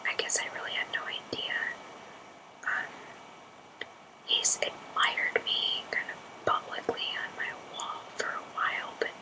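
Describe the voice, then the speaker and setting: Girl in her 20s doing asmr, all breathy whisper, casual confiding chat, kinda halting. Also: rough recording. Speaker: ASMR channel host.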